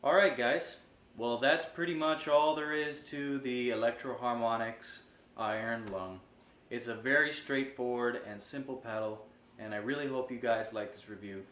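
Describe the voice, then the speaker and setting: A man's voice: mid-range voice, moderate pace, fairly bright tone. A man casually explaining and reviewing gear in an informal, explanatory way. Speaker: host reviewing guitar gear. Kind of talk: casual product demo and review. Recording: roomy echo, noticeable background noise.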